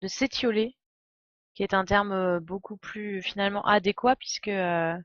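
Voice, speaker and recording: A woman's voice: medium pitch, steady tone, moderate pace, a bit bright, no echo, lo-fi sound. A woman giving casual live game commentary, explaining as she goes with hesitations. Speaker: game streamer.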